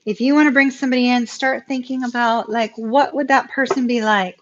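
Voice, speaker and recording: Chatty hoarse woman tarot reader. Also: a little high, lo-fi sound, noisy background. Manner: chatty, laughs often, repeats words for emphasis. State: voice going hoarse. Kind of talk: live tarot reading stream.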